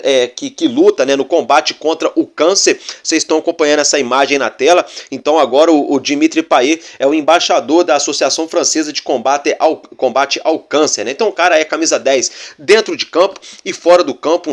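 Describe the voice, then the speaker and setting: Chatty Brazilian man talking football. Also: a little high. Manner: chatty, repeats fillers like "né" and "galera". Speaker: fan channel host. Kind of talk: casual football fan commentary.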